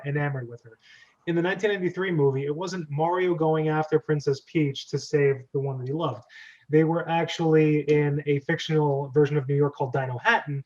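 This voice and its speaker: A man's voice: on the high side, darkish tone. A man hosting a script reading, chatting casually and jokily as he explains and directs. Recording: dull, lo-fi sound.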